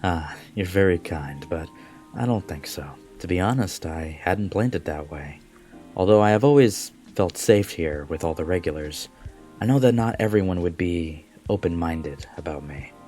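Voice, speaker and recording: A man playing a gentle, modest alien café regular, speaking politely and sincerely in a fan-dubbed visual novel. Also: sits low, expressive, normal speed, soft background music.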